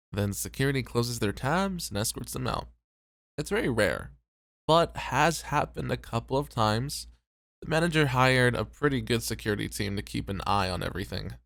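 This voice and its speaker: A man's voice: mid-range voice, gravelly, a bit bright, no echo. A man voicing a conscientious, weary, self-doubting host in an ASMR roleplay, sincere and a little hesitant. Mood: earnest, uneasy. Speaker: voice actor.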